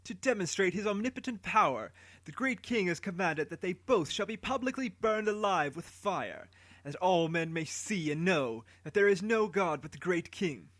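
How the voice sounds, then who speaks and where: A man voicing a royal herald with a staff, proclaiming slowly and grandly to a crowd. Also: high voice, animated, a bit bright, no echo, voice pushed up. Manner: slow, proclaiming.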